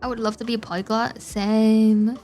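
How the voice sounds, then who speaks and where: A young woman streamer chats with her viewers in a bright, highish voice, quick to laugh and get excited. Faint game music plays quietly in the background. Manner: excitable, giggly, chatty with viewers. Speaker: VTuber streamer. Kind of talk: casual game-stream commentary.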